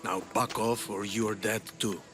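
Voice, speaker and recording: A man playing a gruff, corruptible city guard in a video game, blunt and threatening. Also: lowish voice, steady tone, measured pace, music in the background, lightly acted. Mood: menacing. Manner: blunt, menacing. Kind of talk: video game dialogue acting.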